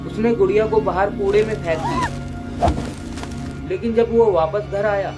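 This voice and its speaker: A man narrating scripted horror stories as a voice-over in a slow, dramatic way. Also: high-pitched, huge pitch swings. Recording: voice-over with loud music bed.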